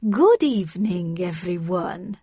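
A woman voicing an imperious, impatient older lady with a commanding, brisk and formally polite manner. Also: lowish voice, lively intonation, dark tone, slightly rough, no room sound, muffled recording, exaggerated voice. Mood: forced politeness.

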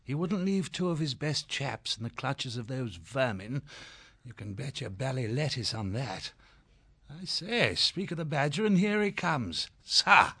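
A man's voice: medium pitch, expressive, unhurried, bright, forceful tone, breathy edge, no room sound, heavily acted. Man playing a jolly hare officer. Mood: reassuring. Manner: chummy upper-class officer slang.

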